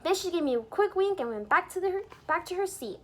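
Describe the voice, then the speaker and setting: A woman reading a picture book aloud to young children in an expressive, teacherly way, pausing to explain things and put questions to the listeners. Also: extremely high pitch. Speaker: children's storybook reader and teacher. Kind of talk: animated read-aloud for young children with asides explaining words.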